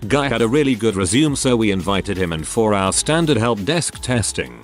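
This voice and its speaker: A man narrates internet stories in a lowish voice that rises and falls a great deal. His read is scripted and animated. Faint music plays underneath. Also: normal speed. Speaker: narrator reading online stories.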